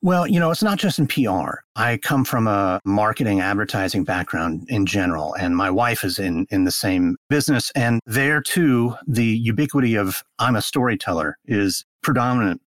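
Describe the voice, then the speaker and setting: Male interview guest, somewhat low, dry sound, articulate and conversational with emphatic repeats. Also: expressive, moderate pace, few pauses, dry recording. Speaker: podcast storyteller, interview guest.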